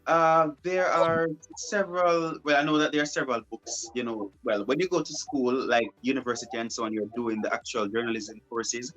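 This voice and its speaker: A young man talks through his answers in a relaxed way. He circles back on his words and restarts his phrases. Faint music plays under his voice. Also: mid-range voice, moderate pace, few pauses, low-quality recording. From Jamaica. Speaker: broadcast journalist guest. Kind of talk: casual interview answers.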